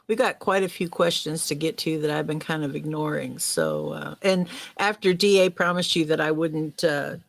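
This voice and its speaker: A woman's voice: deep voice, animated, normal speed, gravelly, hardly any pauses, no room sound. A woman co-hosting a casual live podcast, teasing and joking at her own expense while steering questions to guests.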